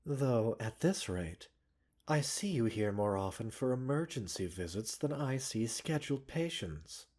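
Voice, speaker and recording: Male actor as composed pharmacist doctor, soft breathy tone, slow pace, gently chiding, soothing, formal, ASMR roleplay. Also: mid-range voice, expressive. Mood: reassuring, wry. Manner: soothing, unhurried, politely formal with teasing asides. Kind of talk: ASMR roleplay audio drama.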